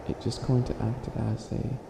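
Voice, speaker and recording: A man playing a centuries-old, boastful but bumbling evil witch in an ASMR comedy roleplay, speaking in a theatrical, halting, grandiose way. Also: mid-range voice, level tone, creaky, reverberant, noisy background. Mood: exasperated.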